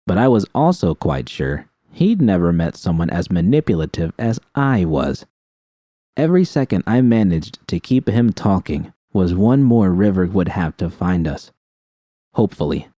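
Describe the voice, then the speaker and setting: Deep-voiced man narrating fiction. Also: very animated, moderate pace, dark tone, no echo, clean studio sound. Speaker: audiobook narrator. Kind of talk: scripted first-person fiction narration.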